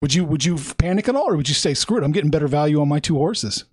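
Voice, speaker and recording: A man hosting a podcast, asking quick, jokey questions in a casual chat. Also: on the high side, animated, husky. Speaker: podcast host.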